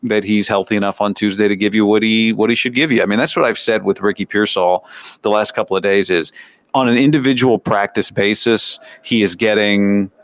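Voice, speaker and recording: Low-pitched American male sports commentator. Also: steady tone, fairly quick, no room sound. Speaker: sports show host and reporter. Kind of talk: sports commentary, practice recap.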